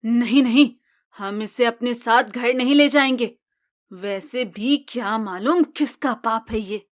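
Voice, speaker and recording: A woman playing a cruel, scheming mother-in-law in a moral-tale cartoon, sly and gloating with drawn-out phrasing. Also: a little high. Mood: scornful.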